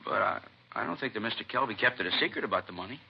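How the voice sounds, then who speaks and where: A shaken witness whose gravelly, lowish voice tumbles out quickly in folksy, halting phrases. The sound is dull and muffled, like an old radio broadcast. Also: male voice, animated, slight background noise. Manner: folksy, hesitant. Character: rattled male witness, nightclub worker. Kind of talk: 1950s radio drama acting.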